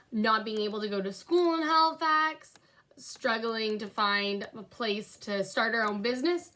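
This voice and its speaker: Young female storybook reader, bright tone, unhurried and expressive. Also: fairly high pitch, rough recording. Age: young adult. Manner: animated, clearly paced storytelling. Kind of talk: expressive children's read-aloud narration with asides. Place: indoor room at home by a window.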